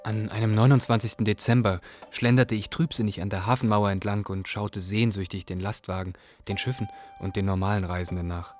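Low actor as restless refugee narrator. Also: male voice, steady tone, fairly quick, hardly any pauses, darkish tone, soft background music, natural voice. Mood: wistful. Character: young male refugee narrator, restless, longing. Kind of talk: literary radio play narration.

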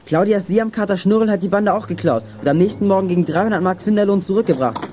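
A man's voice: high-pitched, fast talker, mellow, a little hiss or hum, natural voice. A young male actor playing an earnest, assertive teenage boy detective in a children's radio play. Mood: urgent, explaining. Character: plucky teenage boy detective, bossy and outspoken. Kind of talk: 1980s German children's radio play acting.

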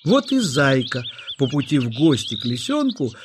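A man narrating a scripted children's story in a gentle, expressive storyteller's manner. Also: medium pitch, normal speed, a little hiss or hum.

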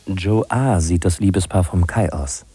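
A confiding narrator follows people like a detective in a fifties radio play. His voice is deep, dark and husky, speaking straight to the listener. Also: unhurried, soft background music. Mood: confiding, observant. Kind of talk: 1950s radio drama narration.

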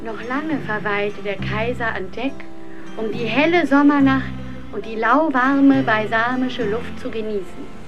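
An actress playing the formal chronicler of an imperial cruise, reading scripted narration in an announcing manner for a radio play. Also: female voice, fairly high pitch, unhurried, muffled recording, music in the background, close to an everyday voice. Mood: stately.

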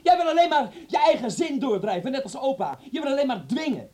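An actor playing a defiant, hot-headed seventeen-year-old son in a Dutch radio drama, rebellious and vehement. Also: male voice, very high voice, sing-song, a little fast, a little mellow. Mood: furious.

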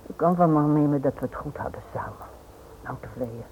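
A woman's voice: low voice, quick pace, lightly acted. An actress playing a guarded, uneasy married woman in a radio play, hesitant and defensive, with clipped replies. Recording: tinny, noisy old radio drama recording.

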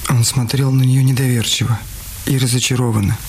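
A man's voice: mid-range voice, steady tone, fairly quick, darkish tone, slight background noise. A man narrating a dramatized story for radio as a scripted reading.

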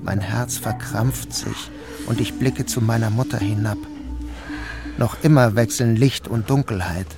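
Calm male narrator with music behind. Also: fairly low pitch. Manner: calm, measured, introspective. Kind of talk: scripted literary narration.

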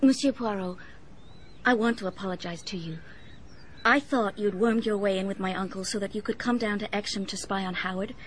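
A woman's voice: mid-range voice, fairly quick, a little hiss or hum, natural voice. An actress playing a self-assured, rich young American woman in a radio drama, direct and confident, with an American accent. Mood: frank, curious.

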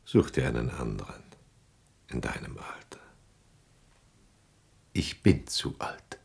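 Aging ironic lover, very deep clouded voice, world-weary, theatrical, radio drama. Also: male voice, lively intonation, slow pace, creaky, darkish tone, husky, in a whisper, exaggerated voice. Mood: wounded. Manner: theatrical, swinging from sardonic to brooding. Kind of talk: radio play acting.

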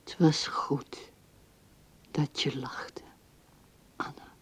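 An actress playing an old widow musing tenderly and haltingly at her husband's grave in a radio drama, her soft voice often dropping to a hush. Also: a bass voice, fairly even intonation, slightly rough, whispered, exaggerated voice. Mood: tender, grieving. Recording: dull old radio recording.

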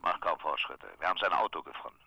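An actor playing a gruff, sardonic police commissioner in a radio crime drama, clipped and gravelly through a telephone filter. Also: male voice, low voice, fast talker, no echo, lo-fi sound, exaggerated voice. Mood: brisk, sardonic. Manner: clipped, forceful.

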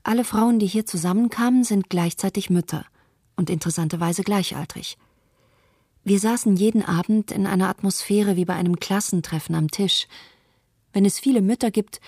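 A woman playing a thoughtful, guilt-troubled mother in a measured first-person narration for a radio play. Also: mid-range voice, a little mellow, breathy edge, dry recording, clean studio sound. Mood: reflective, admiring. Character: thoughtful Tokyo mother who fled with her daughters, troubled by guilt.

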